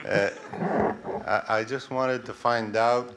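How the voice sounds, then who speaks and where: A deep-voiced man asking questions from the floor at a public meeting, plain-spoken and hesitant. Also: fairly even intonation, slow pace. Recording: roomy and distant with clear room noise.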